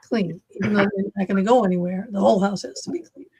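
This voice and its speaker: A woman's voice: mid-range voice, steady tone, fast talker, a little mellow, breathy edge. A middle-aged woman from Massachusetts with a Massachusetts accent, chatting casually and animatedly, rambling with many fillers. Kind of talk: casual chat.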